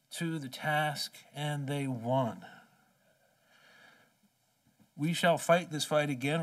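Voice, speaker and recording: A man in his forties giving an impassioned keynote speech at a podium, emphatic and rhetorical, with slow deliberate phrases. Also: fairly high pitch. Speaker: keynote speaker. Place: large conference hall, at a podium. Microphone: podium microphone. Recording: distant conference hall sound.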